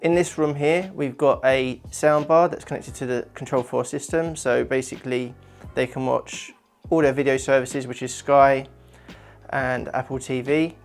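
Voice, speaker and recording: A man's voice: medium pitch, steady tone, moderate pace, soft background music. A man, an installer informally explaining his work in a presenter's walkthrough for a company channel.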